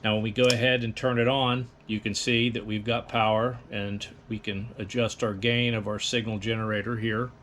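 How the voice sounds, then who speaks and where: A man's voice: on the low side, little pitch movement, normal speed, few pauses, fairly bright tone, a little hiss or hum. A man presenting an explanatory equipment demonstration in a methodical, instructional manner. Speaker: presenter of radio equipment tutorial.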